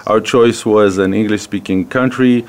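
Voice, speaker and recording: A man's voice: lowish voice, steady tone, normal speed. A man in his fifties from Israel with an Israeli accent, hosting a business interview from a radio studio and talking hesitantly with many fillers and restarts. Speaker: radio show host.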